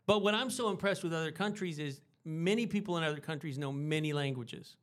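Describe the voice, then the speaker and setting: A man hosting a casual interview, chatty and encouraging, quick to praise his guest. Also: a little high. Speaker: interviewer, host.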